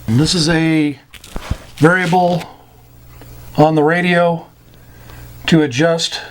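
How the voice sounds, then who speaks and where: A man with an American accent and a slightly higher-than-average voice walks through technical terms like an informal glossary lesson. He is plain-spoken and halting, breaking his explanations into short phrases with frequent pauses. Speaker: instructor explaining CB radio terms.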